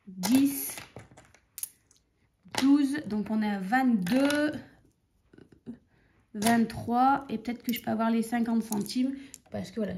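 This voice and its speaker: A woman's voice: fairly high pitch, darkish tone, a little hiss or hum. A woman in her thirties with a cold and a stuffy nose, chatting casually to her viewers and counting out cash. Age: 30s. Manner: chatty, rambling, counts money aloud. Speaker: budgeting vlogger. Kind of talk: casual chat to camera.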